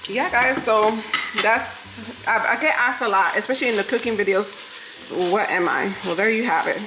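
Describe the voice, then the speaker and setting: A woman's voice: fairly high pitch, moderate pace, roomy sound, background noise. A woman in her thirties from New York City, a native Spanish speaker with a New York accent touched by the South, chatting casually with viewers while she cooks in her home kitchen. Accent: New York with a Southern lilt. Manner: chatty, laughs often, talks to viewers as "y'all". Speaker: vlogger. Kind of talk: casual chat while cooking. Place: home kitchen with food frying.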